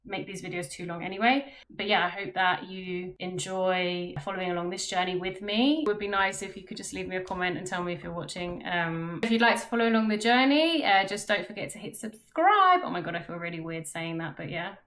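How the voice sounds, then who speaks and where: A woman's voice: lowish voice, brisk, few pauses, a bit bright. Young woman, chatty, self-conscious, casual vlog from her bedroom. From London, England. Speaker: vlogger and web developer. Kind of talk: casual talk to camera. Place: bedroom at home.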